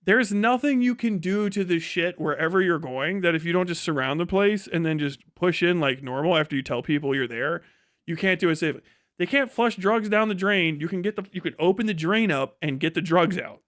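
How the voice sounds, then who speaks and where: A man's voice: sits high, animated, brisk, fairly bright tone, no echo, clean studio sound. An American man hosting a livestream, talking casually with blunt, opinionated, sweary commentary. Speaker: livestream host.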